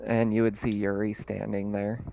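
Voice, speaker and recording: A man running a tabletop roleplaying game as game master, describing scenes in a relaxed way with many fillers. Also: on the low side, moderate pace, gravelly, poor audio.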